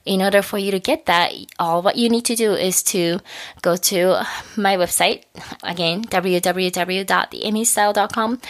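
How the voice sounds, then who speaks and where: A woman hosting a podcast, her voice husky from a lingering cough, talking in a chatty, encouraging way and laughing lightly between thoughts. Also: lowish voice, fairly bright tone. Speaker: podcast host. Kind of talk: casual solo podcast wrap-up.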